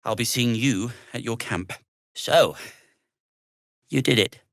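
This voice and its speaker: An actor playing an earnest, fussy, melancholy gnome inventor in a video game, with a hesitant, wordy and old-fashioned polite way of talking. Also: male voice, medium pitch, slow pace, gravelly, fairly bright tone, no echo, clean studio sound. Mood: wry, polite. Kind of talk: video game character dialogue.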